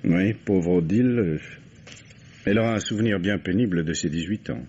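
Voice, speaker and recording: An actor playing a middle-aged count and bereaved husband, hesitant, formal and courteous, in an old radio mystery. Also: male voice, sits low, normal speed, a little mellow, slight background noise, close to an everyday voice. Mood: subdued, hesitant. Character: count, grieving husband, writer, polite suspect being questioned. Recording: dull vintage radio drama recording.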